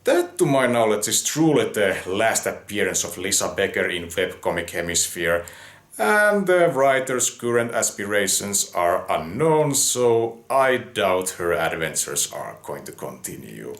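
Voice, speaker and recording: A man's voice: lowish voice, sing-song, unhurried, a bit bright. A man reading a scripted review as narration, opinionated and given to wry asides.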